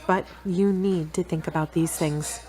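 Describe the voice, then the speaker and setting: An actress playing a self-assured, frank, teasing girlfriend in a radio drama, articulate and measured. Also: lowish voice, fairly even intonation, dark tone, close to an everyday voice, poor audio. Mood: frank, serious. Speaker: actor in an audio drama. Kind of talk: scripted radio-play dialogue.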